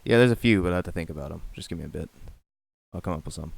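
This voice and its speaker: A man's voice: sits low, racing, vocal fry, no echo. A man co-hosting a podcast, explaining things patiently in casual chat, often repeating his words. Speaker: podcast co-host.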